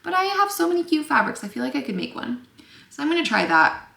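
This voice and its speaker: A young woman teaching sewing to camera in a chatty, casual way, a little scratchy-voiced and quick to apologize. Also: high-pitched, sing-song, vocal fry, sharp tone. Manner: chatty, apologetic, lots of fillers. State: slightly scratchy voice. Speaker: sewing instructor. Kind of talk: casual tutorial talk to camera. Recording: echoey room.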